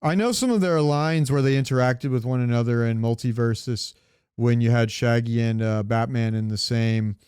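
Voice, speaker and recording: A man with a clear, resonant mid-pitched voice talks casually as a podcast co-host. He is opinionated and informal, and the sound is dry and close. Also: moderate pace, a little mellow, no echo. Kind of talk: casual pop-culture chat.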